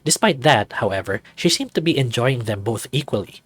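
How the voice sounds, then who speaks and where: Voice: mid-range voice, expressive, fairly quick, husky, no echo. A video host narrating a visual novel aloud expressively and addressing viewers casually.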